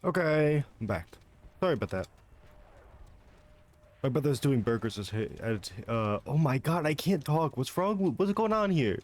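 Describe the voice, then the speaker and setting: A man's voice: on the high side, sing-song, moderate pace, a little mellow. A young man giving casual, self-mocking commentary while streaming a shooter game, swearing and laughing between shots.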